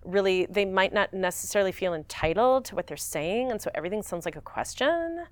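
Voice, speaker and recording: A woman in her forties, an actress guest, talking in a rambling, animated way and laughing often. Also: medium pitch, a little fast. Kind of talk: casual interview chat.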